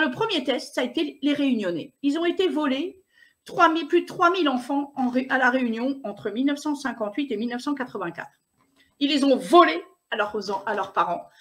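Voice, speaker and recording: A woman's voice: fairly high pitch, fairly bright tone. A woman speaking insistently as an interview guest, restarting her sentences often. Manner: repetitive restarts, indignant, insistent. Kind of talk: emphatic conversational interview.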